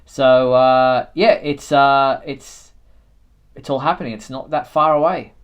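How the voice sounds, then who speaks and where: A man hosting a casual live fan news chat, speaking in a halting way with frequent fillers. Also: mid-range voice, steady tone, clean tone. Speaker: show host.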